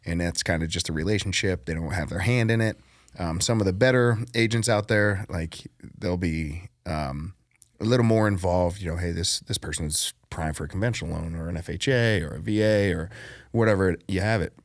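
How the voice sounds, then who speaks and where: A man with a low, deep voice tells his story at an easy pace and laughs often. The sound is dry and close, with no room behind it. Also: a little fast, no room sound. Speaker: podcast guest. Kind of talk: casual chat.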